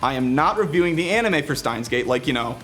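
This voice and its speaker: A man's voice: a little high, expressive, fast, fairly bright tone, soft background music. A man presenting a scripted video game review with casual asides.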